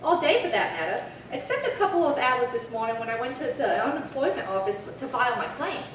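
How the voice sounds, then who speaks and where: Radio drama role: actress as sassy young female model, high voice, very animated, wisecracking and griping. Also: brisk. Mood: breezy, griping. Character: brash, slangy struggling young model and actress. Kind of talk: 1950s radio crime drama acting. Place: live theatre stage reading. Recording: echoey hall, distant mic, faint noise.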